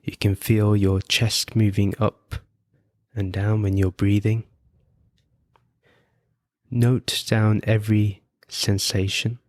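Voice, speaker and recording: A man leading a guided meditation as host, giving gentle, unhurried instructions with frequent pauses. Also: lowish voice, flat delivery, dry recording, clean studio sound. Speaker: podcast host guiding meditation.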